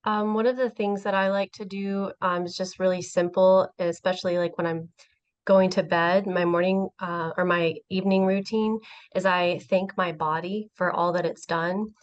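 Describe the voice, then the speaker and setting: Woman, lowish voice, casual personal sharing, dull lo-fi video call audio. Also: fairly even intonation, moderate pace. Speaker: community member sharing.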